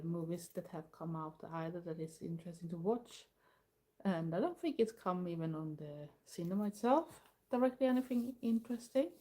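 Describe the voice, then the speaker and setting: A Swedish woman, a native Swedish speaker and older goth streamer, chatting casually in English with a Swedish accent, pausing often while she waits for her live chat. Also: fairly low pitch. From Sweden. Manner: relaxed, chatty, pauses often to read the chat.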